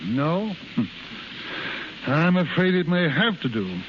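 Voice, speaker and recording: An actor playing a frank, avuncular, pragmatic American consul in 1930s radio drama style. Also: male voice, a little high, sing-song, slow pace. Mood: persuasive. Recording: muffled, hissy 1930s radio broadcast recording.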